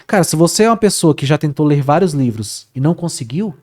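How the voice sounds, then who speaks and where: Male guest, casual animated storyteller, repeats himself, lots of fillers. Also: a little high, fast talker. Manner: animated, repeats words, says "cara" often. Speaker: guest, Portuguese teacher.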